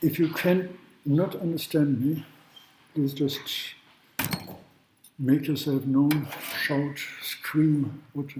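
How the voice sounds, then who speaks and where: A man from Germany, a law professor giving a university lecture in a halting way, with restarts and throat clearing. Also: fairly high pitch, fairly even intonation, background noise. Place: large classroom. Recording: echoey room.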